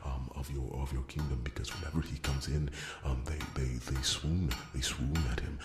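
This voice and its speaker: A man's voice: very deep voice, steady tone, moderate pace, roomy sound, music in the background. A man voicing an earnest, wordy dwarf loyal to royalty, stammering and repeating himself deferentially in an ASMR fantasy roleplay. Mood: indignant, petty. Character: rambling, earnest, wounded-pride dwarf smith loyal to royalty.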